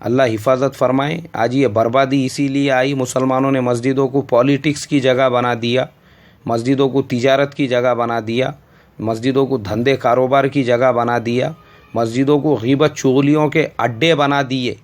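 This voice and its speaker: A man's voice: mid-range voice, little pitch movement, normal speed. A male Islamic scholar with an Indian accent, answering a listener's question in a scolding, emphatic preaching style.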